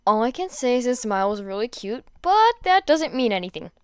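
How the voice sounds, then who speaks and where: A woman's voice: on the high side, sing-song, brisk, smooth, dry recording, studio quality. A woman voicing a smitten, evasive teenage boy in a story reading, casual and teasing. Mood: coy.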